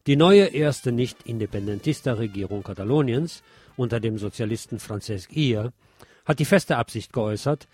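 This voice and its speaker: A man's voice: fairly low pitch, darkish tone. A man presenting scripted radio news, reading reports and adding wry, sardonic asides.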